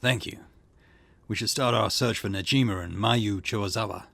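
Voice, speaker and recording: A man voicing a gruff middle-aged police detective who speaks in a low, steady way. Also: moderate pace, no echo, exaggerated voice.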